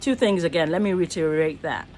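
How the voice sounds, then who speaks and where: A woman's voice: fairly low pitch, fairly quick, darkish tone, a little hiss or hum. A middle-aged Nigerian woman, an actor and voice artist, musing in a rambling, earnest selfie vlog from a small room, often stopping to rephrase herself. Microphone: phone at arm's length.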